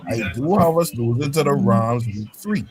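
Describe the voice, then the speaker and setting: A man's voice: medium pitch, animated, normal speed. A man joining a sports podcast as a fan guest, confident and brushing off the host's doubts. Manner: confident, dismissive. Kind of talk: casual sports debate. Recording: crowd noise behind.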